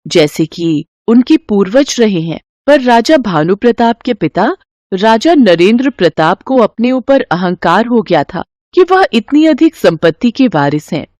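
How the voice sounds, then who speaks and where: Woman playing a solemn goddess, lively intonation. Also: medium pitch, dry recording. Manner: formal, declamatory, explanatory. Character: benevolent, solemn goddess revealing an old secret. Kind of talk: Hindi moral-story cartoon.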